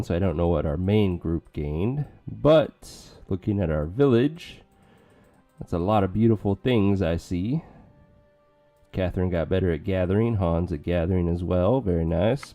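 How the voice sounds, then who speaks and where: A man casually commentating a strategy game with joking asides, his voice going hoarse. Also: low voice, warm tone. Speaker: let's play commentator.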